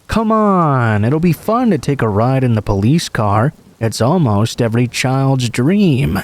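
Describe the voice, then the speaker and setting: A man's voice: medium pitch, sing-song, unhurried. Man voicing a coaxing middle-aged policeman. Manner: coaxing, falsely friendly.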